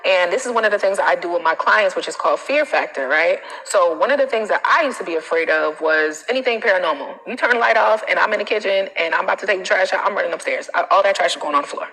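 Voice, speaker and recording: Bright-voiced American woman coaching, echoing sound. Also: medium pitch, fast talker, hardly any pauses. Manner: blunt, animated, colloquial straight talk. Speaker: self-confidence coach. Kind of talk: casual livestream coaching talk. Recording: reverberant, echoing room sound.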